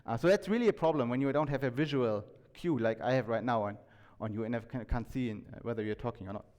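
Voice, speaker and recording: A man giving an informal talk to an audience, conversational and halting, often restarting his sentences and checking in with questions. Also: mid-range voice, darkish tone. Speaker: conference speaker. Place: indoor talk venue, reverberant room. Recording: echoey hall with long reverb.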